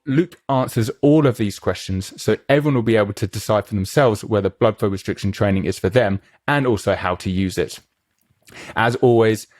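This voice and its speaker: Man, podcast host, low voice, dry sound, chatty and eager. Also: darkish tone, dry recording. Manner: eager, chatty, asks many questions. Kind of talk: conversational interview.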